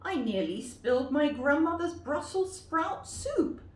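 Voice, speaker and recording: A woman's voice: high voice, sing-song, unhurried, voice pushed up. A woman voicing a sweet, bold little girl in a storybook, with a sing-song, exclaiming manner. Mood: indignant. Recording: roomy, distant mic with faint noise.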